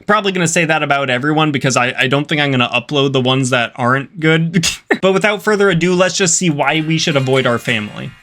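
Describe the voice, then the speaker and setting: A young man with a fairly high voice hosts a reaction video. His commentary is casual and slangy, with words stretched out for emphasis as he talks to viewers. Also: expressive, fast, bright, forceful tone.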